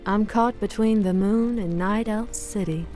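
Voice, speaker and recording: A fierce, wry elf huntress from a video game, acted by a woman. She declaims in a mid-pitched voice beneath loud music. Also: a little slow, close to an everyday voice. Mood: wry, proud. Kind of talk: video game unit barks.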